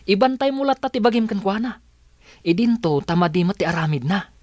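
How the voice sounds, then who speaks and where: A man's voice: sits high, animated, brisk, a little mellow, no room sound, studio quality. An actor playing a concerned, persistent young male neighbour in a radio drama, with an earnest, insistent delivery. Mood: earnest warning.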